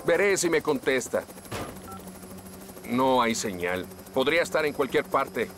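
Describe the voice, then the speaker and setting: A man's voice: mid-range voice, sing-song, unhurried, background noise. A man playing a brave, reassuring firefighter in a cartoon dub, speaking assuredly with a Latin American Spanish accent. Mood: calm, decisive. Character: brave, reassuring adult male firefighter hero.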